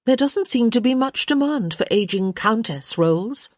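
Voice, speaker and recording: A woman's voice: on the high side, lively intonation, moderate pace, a little mellow, no room sound, clean studio sound, pitched above the performer's own voice. A woman voicing a witty young unmarried lady, arch, teasing and sarcastic, in a story reading.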